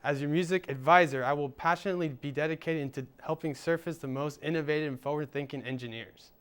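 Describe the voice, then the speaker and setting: A man's voice: fairly high pitch, fast, a bit bright, clean tone. A young man hosting a student show, reading upbeat scripted links and interviewing a guest. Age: young adult. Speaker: student host and presenter.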